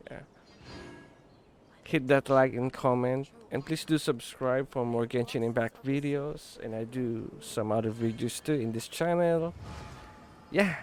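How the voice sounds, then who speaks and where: A man's voice: mid-range voice, a little mellow. A man giving brief, easygoing, casual reaction commentary as a gaming YouTuber. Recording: game music behind the voice. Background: game music.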